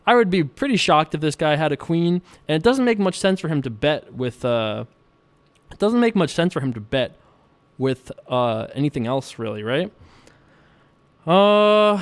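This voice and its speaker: A man's voice: a little high, clear, resonant tone. Jokey, chuckling young man commentating poker. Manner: jokey, chuckling, self-amused, drawn-out mock pondering. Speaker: poker vlogger. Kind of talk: casual playful commentary over online poker.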